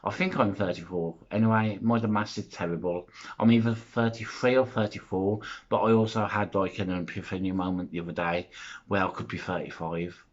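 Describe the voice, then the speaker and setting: Guy in his 30s rambling on his channel, deep voice, kinda muffled lo-fi sound. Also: steady tone, a little fast. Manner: rambling, self-deprecating, chatty with many fillers. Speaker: YouTuber. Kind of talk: casual vlog chat.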